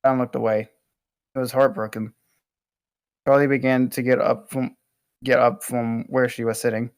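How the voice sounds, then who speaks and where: A man narrating stage directions at an amateur table read, reading haltingly with small stumbles. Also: mid-range voice, flat delivery, no room sound.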